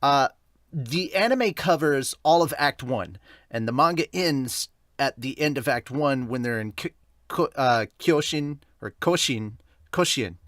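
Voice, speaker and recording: Man, podcast host, bright forceful voice, casual review chat, rambling, self-correcting, dry close sound. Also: medium pitch, lively intonation, a little slow, dry recording. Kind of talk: casual chatty review intro with live commentary.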